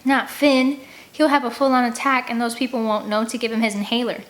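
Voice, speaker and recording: A woman's voice: flat delivery, a little fast, lightly acted. A woman voicing a frightened but defiant young girl in a read-aloud adventure story, with a pleading manner. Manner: pleading, shrill when desperate.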